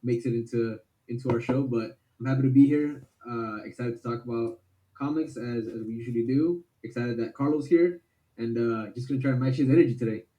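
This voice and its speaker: Male guest, hoarse after illness, dark voice, friendly. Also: medium pitch, a little fast, low-quality recording. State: hoarse from illness, slight cough. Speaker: comic shop guest. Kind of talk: casual group chat.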